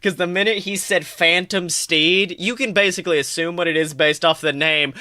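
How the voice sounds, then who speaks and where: Bright-voiced, higher-pitched casual podcast man. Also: normal speed, no echo, clean studio sound. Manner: animated retelling with acted-out quotes, casual swearing. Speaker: podcast co-host. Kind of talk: casual fan discussion.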